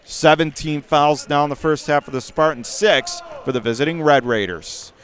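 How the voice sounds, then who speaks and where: A man doing live radio play-by-play of a basketball game in clipped running phrases, with excitable calls. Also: a little high, moderate pace, smooth. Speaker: play-by-play commentator.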